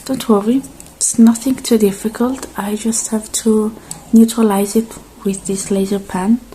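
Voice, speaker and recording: Hushed, slow, dark-voiced ASMR woman. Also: mid-range voice, fairly even intonation, a little hiss or hum, poor audio. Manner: reassuring, hushed, halting delivery in short phrases. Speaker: ASMR roleplay performer. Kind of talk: soft-spoken ASMR roleplay, alternating with whispering.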